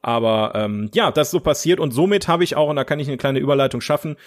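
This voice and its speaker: A man's voice: medium pitch, fast. A young man with a German accent chatting casually as a podcast host, enthusiastic, colloquial and free with swearing. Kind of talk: casual chat about films and series.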